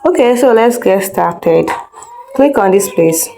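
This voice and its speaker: A woman's voice: mid-range voice, animated, takes it slowly, creaky, warm tone, slight background noise. A woman teaching an informal step-by-step screen tutorial, instructing directly, repeating herself and checking that learners follow. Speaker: video editing instructor.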